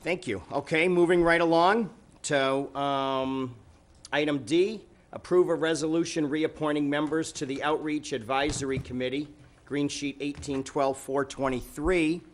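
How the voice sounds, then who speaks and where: A man chairing a city council meeting, giving informal remarks and updates in a halting, conversational way. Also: on the high side, fairly even intonation.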